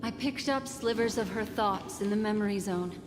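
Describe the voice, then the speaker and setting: An actress playing a composed, elegant mystic guide in a video game, speaking in a measured, formal way. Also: female voice, medium pitch, close to an everyday voice. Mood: urgent. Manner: measured, formal, deliberate phrasing. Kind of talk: dramatic video game voice acting. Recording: long hall reverb under game music.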